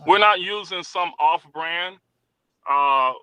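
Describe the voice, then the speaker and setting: Man with a very bright voice, fairly high, animated storyteller, dry close mic. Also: slow, smooth, no room sound, lo-fi sound. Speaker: guest, popcorn shop owner. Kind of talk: casual interview chat.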